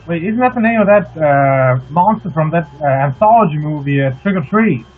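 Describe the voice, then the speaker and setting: A man's voice: a little high, expressive, normal speed, soft background music. Man on phone-like voice chat. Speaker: co-op player. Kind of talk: casual chat during gaming. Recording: phone-like voice chat with faint noise.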